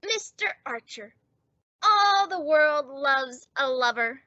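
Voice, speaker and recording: A high, sharp voice in lo-fi sound: a woman playing a lovestruck teenage boy from a radio comedy. He is earnest and dopey, forever laying out a scheme with great formality. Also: expressive, slow pace, dry recording, full character voice. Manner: earnest, formal pitching of silly arguments. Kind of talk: old-time radio sitcom acting. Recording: dull, lo-fi audio.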